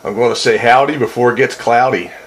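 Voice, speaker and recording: A man's voice: mid-range voice, a little fast. A man making casual, wry and folksy conversation over two-way radio. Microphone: radio desk mic. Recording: noisy, staticky two-way radio transmission.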